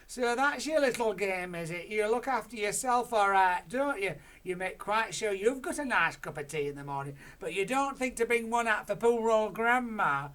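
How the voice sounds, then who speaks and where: A storyteller voicing a bossy, bad-tempered elderly grandmother who rants loudly and slowly. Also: medium pitch, lively intonation, voice pushed up, close to an everyday voice. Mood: indignant shouting. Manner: loud, slow, indignant ranting. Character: bossy cranky elderly grandmother, shrill and demanding.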